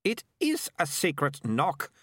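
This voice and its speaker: A bossy, self-assured young girl in a storybook, voiced by a male narrator. The voice is raised high and leaps about with dramatic insistence, recorded dry and close. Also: wildly swooping pitch, normal speed, a bit bright, slightly rough, no echo, exaggerated voice. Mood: annoyed, suspicious.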